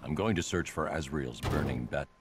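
An actor voicing a grim, terse caped vigilante in a video game, with a deep, gruff voice and clipped, mission-focused lines. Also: male voice, moderate pace. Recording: distant game audio under loud noise.

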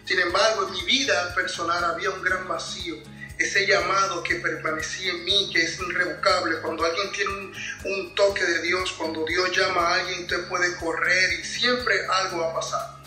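Bright, forceful Dominican man on a video call. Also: high voice, flat delivery, moderate pace. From Dominican Republic. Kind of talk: interview testimony. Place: indoors at home, on a video call. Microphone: tablet video call. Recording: roomy video-call sound with music behind.

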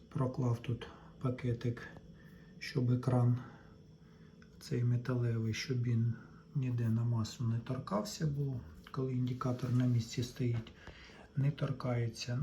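A man's voice: mid-range voice, warm tone. A man casually narrating a hands-on repair for his viewers, explaining step by step in a plainspoken way. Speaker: electronics repair vlogger. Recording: clearly noisy home-made video recording.

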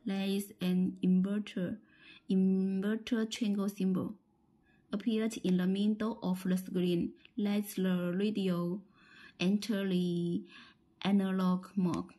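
A woman with a Chinese accent giving a halting, step-by-step instructional product demonstration, often repeating phrases. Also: medium pitch, mellow, low-quality recording.

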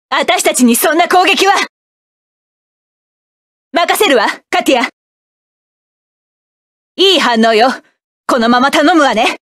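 A proud, fierce mecha pilot woman from an anime-style game, snapping out orders and cool, haughty taunts. Her voice sits very high and bright for a woman. It is recorded completely dry, with no room sound at all. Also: no room sound. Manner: sharp commanding battle shouts, cool haughty taunts. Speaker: voice actress for a game character. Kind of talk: game battle voice lines.

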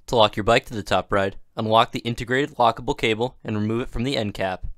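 A man giving scripted, even-toned narration for a product installation video, with steady instructional delivery. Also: medium pitch, fast talker, no room sound, studio quality.

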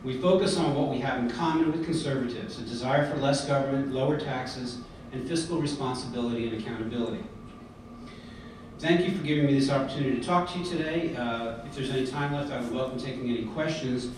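A man's voice: mid-range voice, fast. Man speaking in an echoey hall. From United States. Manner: earnest, with frequent fillers and restarts. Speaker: convention speaker. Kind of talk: prepared speech at a podium. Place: large hotel conference hall, indoors. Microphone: podium mic, filmed by a far camera. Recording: echoey hall from far off, background noise.

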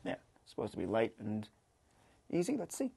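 A man, hosting a casual tasting in a halting, wry way. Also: on the low side, huge pitch swings, creaky, dark tone, husky. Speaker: host of a beer tasting show. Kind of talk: casual unscripted tasting commentary. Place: kitchen studio, indoors.

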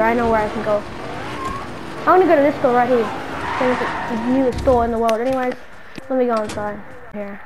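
A woman's voice: sits high, background noise. A woman playing an exasperated, slangy city dweller hounded by a stalker friend in an improvised video game roleplay. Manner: slangy, muttering to self, then scolding.

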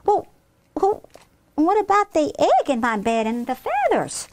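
A woman's voice: sits high, huge pitch swings, a little slow, dry recording, pitched above the performer's own voice, heavily acted. Woman as an earnest, stubborn little girl. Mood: plaintive. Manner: earnest, childlike. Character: stubborn, innocent little girl who believes she's a chicken.

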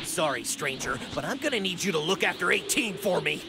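An actor voicing a small, hot-headed, devoted male martial artist with an excitable, earnest delivery in an anime video game. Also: medium pitch, a little fast, gravelly, very noisy. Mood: defiant. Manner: excitable, indignant, fiercely earnest. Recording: loud game music behind.

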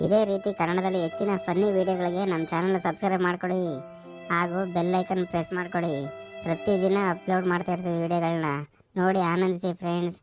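A woman's voice: on the low side, flat delivery, fast talker, over music. A woman presenting a scripted promotional outro for a video channel.

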